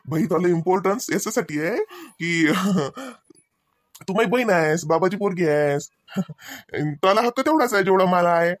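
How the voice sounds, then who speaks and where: A man's voice: high voice, expressive, takes it slowly, pauses often, no echo, clean studio sound, exaggerated voice. A man voicing an evasive village brother in a comedy cartoon, placating and repeating himself in a rural Vidarbha accent. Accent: rural Maharashtra, Vidarbha. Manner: placating, chuckling, repetitive.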